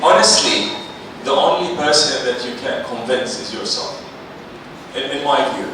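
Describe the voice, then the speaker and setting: Animated man in an echoey hall. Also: mid-range voice, normal speed. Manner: animated, coaxing the audience. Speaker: panellist. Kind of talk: seminar talk leading an audience exercise. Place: large seminar hall. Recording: echoey hall, distant mic, noisy.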